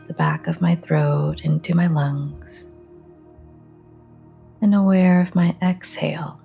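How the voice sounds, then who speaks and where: A woman guiding a relaxation meditation in a soft, half-whispered, breathy voice, calm and gentle, with long pauses. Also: lowish voice. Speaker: meditation guide.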